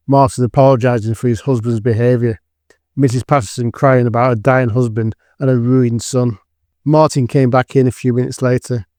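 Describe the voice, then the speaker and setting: A man's voice: mid-range voice, a little mellow, no echo, clean studio sound. A man giving scripted dramatic narration for an audio drama.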